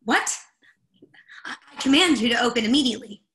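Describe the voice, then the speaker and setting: A stressed woman professor, played by an actress, speaks in a fairly high, bright voice with a lot of creak. She is demanding and impatient, with emphatic delivery in a play reading. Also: fairly quick. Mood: angry. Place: indoors at home, on a video call.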